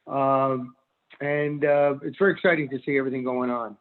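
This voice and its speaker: A man in his sixties from Connecticut, a commercial broker testifying at a remote public hearing, speaking haltingly with many fillers. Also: medium pitch, fairly even intonation. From Norwalk, Connecticut. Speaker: commercial real estate broker. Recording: tinny phone-line audio.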